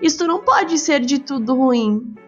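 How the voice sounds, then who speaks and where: A woman voicing a gentle, reflective mother talking to her son in a children's bedtime story. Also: high voice, lively intonation, unhurried, highs cut off, over loud music. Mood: reflective, consoling.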